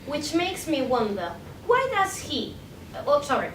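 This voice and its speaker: A woman's voice: sing-song, measured pace, fairly bright tone. A young woman reads an academic paper aloud at a conference, carefully, with occasional stumbles and self-corrections. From Spain. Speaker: PhD candidate presenting a paper. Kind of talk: academic conference talk, read from a prepared script. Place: indoor room at an academic conference. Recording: echoey room, distant mic, faint rumbling noise.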